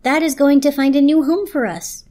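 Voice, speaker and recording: A woman voicing an excited, boastful, reassuring toaster with an eager, emphatic manner. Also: high voice, normal speed, voice pushed up, close to an everyday voice.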